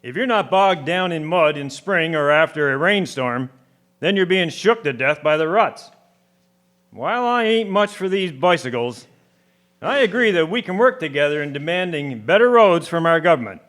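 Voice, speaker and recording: A man's voice: on the high side, animated, moderate pace, fairly bright tone. A man acting a stubborn, plain-spoken rural horseman with a folksy, grumbling manner in a radio drama. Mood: complaining.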